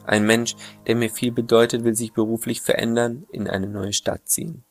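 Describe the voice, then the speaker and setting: A man's voice: mid-range voice, little pitch movement, normal speed, faint music behind. A man reading a reflective first-person essay in an earnest, plain manner. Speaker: essay narrator.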